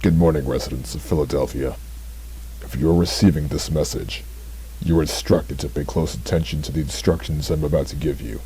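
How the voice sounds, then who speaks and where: A man's voice: a bass voice, steady tone, brisk, warm tone, a little hiss or hum, poor audio. A man playing a grave official who addresses the city's residents over a broadcast, speaking in a stern, commanding way.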